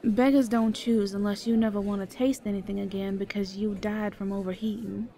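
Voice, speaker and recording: A woman's voice: mid-range voice, fairly even intonation, a little fast, warm tone, natural voice. A woman playing a brash, bossy but motherly small-town eatery woman, blunt and teasing, in an audio drama. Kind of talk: audio theater acting.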